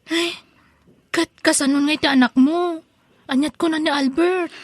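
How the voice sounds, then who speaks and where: A woman's voice: high voice, steady tone, normal speed, dry recording, close to an everyday voice. A woman playing a nosy, scolding village gossip in an Ilocano radio drama, exclamatory and prying. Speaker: actor in a radio comedy drama.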